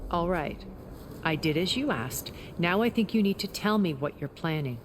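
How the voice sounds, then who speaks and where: A woman plays a calculating, condescending member of an elite in a game cutscene. Her voice is low, measured and formal, over clearly audible music. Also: animated, lightly acted. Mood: skeptical, probing. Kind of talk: video game cutscene acting. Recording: dramatic music behind the voice.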